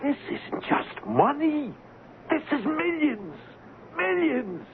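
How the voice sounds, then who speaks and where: An actor playing a hard-nosed, pragmatic ship's officer in an old-time radio drama, insistent and blunt. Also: male voice, very high voice, big pitch swings, takes it slowly, gravelly, exaggerated voice. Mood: greedy, menacing. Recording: muffled, noisy old radio drama recording.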